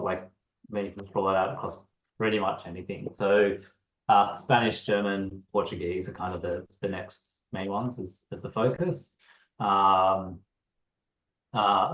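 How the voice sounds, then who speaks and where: A man co-founder of a creative AI tool, explaining in a loose, rambling interview chat over a video call. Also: fairly low pitch. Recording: roomy, dull lo-fi call audio.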